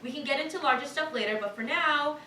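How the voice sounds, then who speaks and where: A woman's voice: high voice, fast, sharp tone. A woman playing an earnest, polite but firm new park manager in a staged cartoon reading. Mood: earnest, nervous. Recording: echoey room, distant mic, faint noise.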